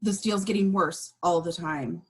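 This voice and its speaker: A woman's voice: fairly low pitch, fairly even intonation, measured pace, husky. A woman playing a worried, defensive male city administrator in an amateur script read, terse and protesting. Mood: grumbling.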